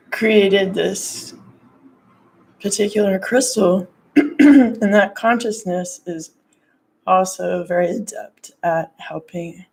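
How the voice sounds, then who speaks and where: A hoarse woman with a gravelly voice doing a casual tarot and crystal card reading in her living room, rambling with lots of fillers. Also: on the low side, a little mellow, low-quality recording. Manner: rambling, lots of "like" and "you know".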